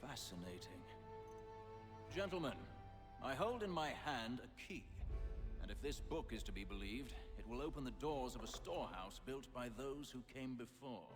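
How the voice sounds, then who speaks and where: A man playing the courtly, scheming leader of a secret order in a video game, speaking in a formal, grandiloquent, deliberate way. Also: mid-range voice, expressive, over music, lightly acted. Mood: grand, intrigued. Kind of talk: video game cutscene acting.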